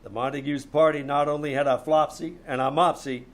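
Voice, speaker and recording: High-voiced wisecracking radio private eye, played by a man. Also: steady tone, brisk, lightly acted. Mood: wry narrating. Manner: wry, breezy first-person storytelling. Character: wisecracking, flirtatious male hard-boiled private detective narrating his case. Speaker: amateur radio troupe actor. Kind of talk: old-time radio detective drama. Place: small-town theater stage. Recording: live stage show with background music.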